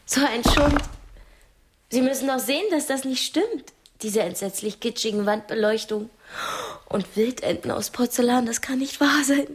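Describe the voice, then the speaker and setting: A woman's voice: fairly high pitch, normal speed, slight background noise, lightly acted. An actress in a radio drama playing a proud, cultured young woman held captive, articulate, candid and critical. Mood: indignant, critical.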